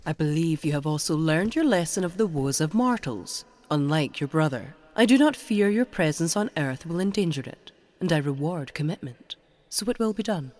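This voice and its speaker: A woman playing a stern, commanding mother goddess in an audio drama, speaking in a formal, imperious, measured way. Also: low-pitched, animated, darkish tone, no echo.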